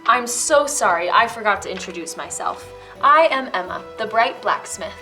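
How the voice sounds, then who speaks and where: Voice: mid-range voice, expressive, moderate pace, sharp tone, soft background music, low-quality recording. A female performer playing a polite blacksmith woman in a children's reader's theater play.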